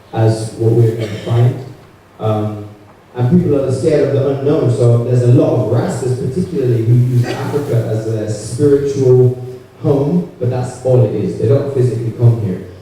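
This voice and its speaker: Earnest low-voiced man in an echoey hall. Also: mellow, slight background noise. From Barbados, raised in the UK. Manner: earnest, hesitant with many fillers and restarts. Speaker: conference panelist. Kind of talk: conference panel answer. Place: large conference hall. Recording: echoey hall heard from far off.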